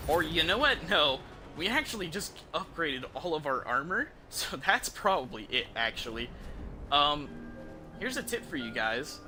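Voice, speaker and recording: A man's voice: fairly high pitch, big pitch swings, normal speed. A young American man giving casual gameplay commentary as a streamer, rambling with slang and swearing over game music.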